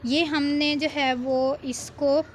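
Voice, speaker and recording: A woman giving an informal software tutorial, explaining step by step with frequent check-in tags. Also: high voice, flat delivery, takes it slowly, slight background noise. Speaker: video editing instructor.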